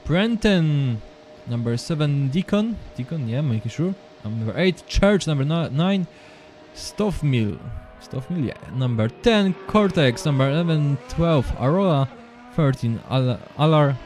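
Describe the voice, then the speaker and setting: A man's voice: fairly high pitch, animated, darkish tone, soft background music. A man whose first language is Polish, commentating a sim racing session live, often repeating words and restarting sentences, with non-native English. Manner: halting, repeats words, apologetic. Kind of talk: live esports race commentary.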